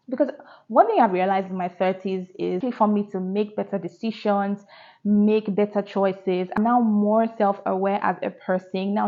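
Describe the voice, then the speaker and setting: A woman's voice: medium pitch, a little mellow, lo-fi sound. A woman, talking candidly to her vlog audience and asking rhetorical questions. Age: 30s. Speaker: vlogger. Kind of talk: casual reflective talk to camera.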